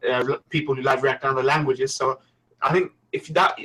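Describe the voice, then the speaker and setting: A man hosting a casual anime podcast, at a middling pitch. He talks in a relaxed, encouraging way and hands the floor to each guest in turn. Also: fairly quick, rough recording. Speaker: podcast host.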